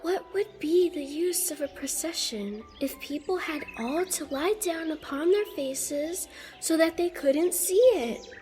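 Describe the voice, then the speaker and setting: A woman plays a curious, well-mannered storybook girl with some pluck. Her voice is very high and unhurried, measured in its questions, with faint music behind it. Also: lively intonation, warm tone. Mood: curious, polite. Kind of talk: audiobook character acting.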